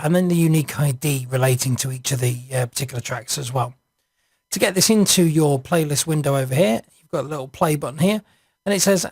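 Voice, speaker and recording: A man narrating an informal screencast software walkthrough, hesitant and improvised as he thinks aloud. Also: on the high side, dry recording, studio quality. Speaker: software reviewer.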